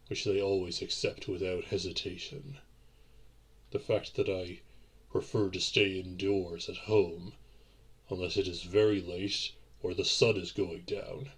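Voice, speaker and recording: A man acts out a centuries-old vampire gentleman in a romantic audio drama, tender and darkly secretive. His voice is deep and husky, with old-fashioned, deliberate wording. Music plays behind him. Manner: formal, old-fashioned, deliberate and theatrical phrasing. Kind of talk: romantic audio roleplay addressed to the listener.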